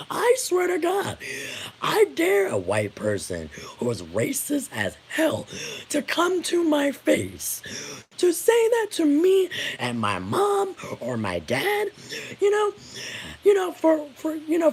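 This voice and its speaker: An American woman vlogger with a very high voice that swings widely in pitch. She speaks slowly and bluntly, venting her indignation in casual, profanity-laced talk. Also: huge pitch swings, a little mellow. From United States. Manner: blunt, indignant, swears freely. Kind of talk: casual opinion rant to viewers.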